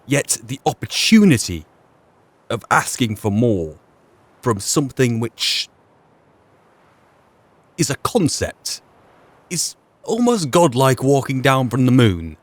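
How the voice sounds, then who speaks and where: A man's voice: medium pitch, very animated, slow, pauses often, no room sound. A man giving reflective commentary on a role-play video game playthrough, weighing things up and posing rhetorical questions. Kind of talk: musing gameplay commentary.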